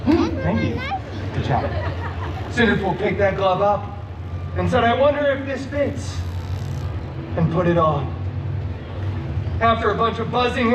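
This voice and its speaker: A man's voice: very high-pitched, noisy background. A male performer playing a flamboyant, cheeky masked antihero, telling a fairy tale to an audience with sarcastic, melodramatic asides. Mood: excited. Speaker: theme park character performer. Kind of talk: theatrical live storytelling to an audience. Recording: echoey distant mic, loud music behind.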